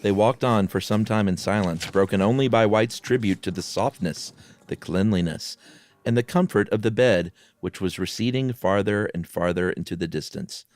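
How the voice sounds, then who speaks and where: A man's voice: on the low side, moderate pace, darkish tone. A man co-hosting a podcast, moving between casual banter and dramatic storytelling. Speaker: podcast co-host and story narrator.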